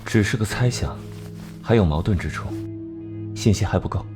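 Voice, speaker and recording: An actor playing a cold, clever male investigator in an animated crime drama, reasoning in a measured, analytical way. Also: low-pitched, over music, natural voice. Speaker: detective section chief.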